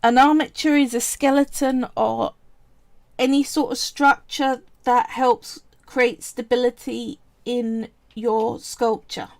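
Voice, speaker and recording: A woman's voice: on the high side, fairly even intonation, creaky, a little mellow, dry recording. A woman casually talking viewers through a polymer clay sculpting project on a live stream, chatty and explanatory. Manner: chatty and explanatory, often pausing to say "right" and "so".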